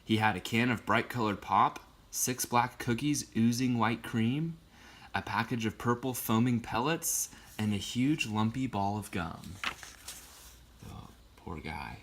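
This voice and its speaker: A man with a bright, forward voice reads a children's picture book live. He tells it expressively, in the casual way of someone sharing a favorite book. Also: medium pitch, measured pace, a little hiss or hum.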